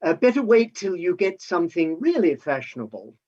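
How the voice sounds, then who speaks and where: A man playing a genteel, polite and mild gentleman with a husky voice in a staged play reading. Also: high voice, big pitch swings, brisk, lo-fi sound. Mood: politely apologetic. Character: courteous, genteel gentleman colonel.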